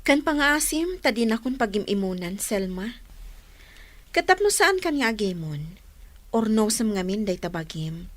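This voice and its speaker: A woman with an Ilocano accent playing a scornful, sharp-tongued rival in a radio drama, scolding and taunting. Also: mid-range voice, very animated, measured pace, no echo.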